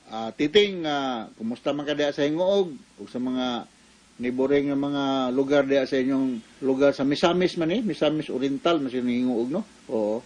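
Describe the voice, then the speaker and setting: A man's fairly high voice with a Cebuano Filipino accent. He is a doctor hosting a radio advice show, explaining things conversationally and folksily, often checking in with short tag questions. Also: low-quality recording.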